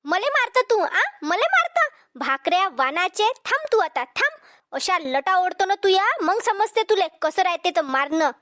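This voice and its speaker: Pushy loudmouth village woman in a cartoon, really high pitched-up voice, vidarbha marathi accent, defiant and insulting. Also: sing-song, normal speed, exaggerated voice. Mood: outraged. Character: brash, pushy, combative village woman at water tap. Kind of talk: cartoon comedy dialogue.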